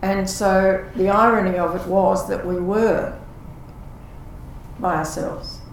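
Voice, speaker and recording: A woman's voice: mid-range voice, steady tone, slow pace, vocal fry. An older woman, a former carer, talking earnestly and reflectively about looking after her husband, with restarts and quoted dialogue. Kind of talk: reflective conversation. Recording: echoey room, far from the mic, faint noise.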